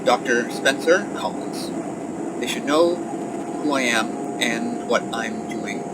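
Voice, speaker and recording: A man's voice: mid-range voice, slow, close to an everyday voice. A man playing a nervous, rambling scientist in an audio drama, stammering and apologetic as he radios in reports. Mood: uncertain, hesitant. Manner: stammering, apologetic, flustered, awkwardly self-correcting. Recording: heavy noise over a radio transmission.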